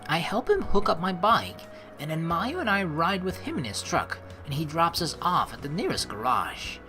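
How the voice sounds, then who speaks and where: Animated low-voiced woman gamer. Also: moderate pace, faint music behind. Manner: expressive, playful, chatty. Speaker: let's play host. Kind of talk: gameplay commentary, reading game text aloud.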